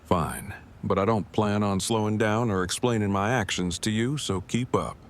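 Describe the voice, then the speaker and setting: Audio drama role, male actor: pedantic, socially stiff inventor man, lowish pitch, verbose and precise, some background noise. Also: moderate pace, lightly acted. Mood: curt, surly. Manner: formal, verbose, precise wording. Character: Eugene, stiff, pedantic, awkward but earnest inventor man. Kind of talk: fan audio drama acting.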